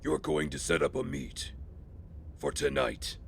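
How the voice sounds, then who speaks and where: Gravelly, menacing vigilante. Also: male voice, medium pitch, big pitch swings, heavily acted. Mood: cold menace. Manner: menacing, commanding, deliberate delivery. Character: grim vigilante man threatening criminals. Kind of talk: audio drama acting.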